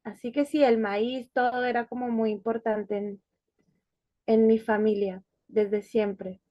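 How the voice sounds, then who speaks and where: Woman, higher voice, thoughtful. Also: steady tone, unhurried, dry recording, dull audio. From Colombia, Bogotá. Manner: thoughtful, hesitant, many fillers and restarts. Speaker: interview guest. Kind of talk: conversational life-story interview.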